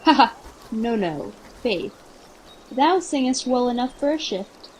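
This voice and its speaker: A woman's voice: a little high, animated, unhurried, a little hiss or hum, poor audio. A woman acting a playful, scheming prince in an amateur Shakespearean radio play. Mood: jovial, commanding. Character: courtly male prince, playful, scheming leader.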